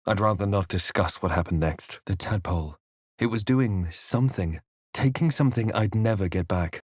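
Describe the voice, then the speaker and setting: A man voicing a brooding, wry fantasy adventurer hero in a video game, with measured, dramatic delivery. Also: low voice, a little mellow, slightly rough, no echo, clean studio sound. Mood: grave, haunted. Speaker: video game player-character voice actor. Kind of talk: dramatic first-person fantasy game narration and voice lines.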